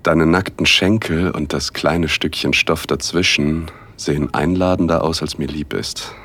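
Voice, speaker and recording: A man narrating a first-person erotic audio drama in a hushed, intimate, unhurried way, with a husky voice. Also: a bass voice, steady tone. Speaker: narrator and male lead.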